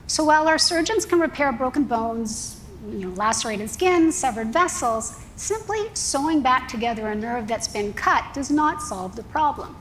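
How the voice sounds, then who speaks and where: A middle-aged woman from Canada, a scientist pitching her research in a clear, explanatory presentation. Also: expressive, moderate pace, few pauses, a bit bright, a little hiss or hum, poor audio.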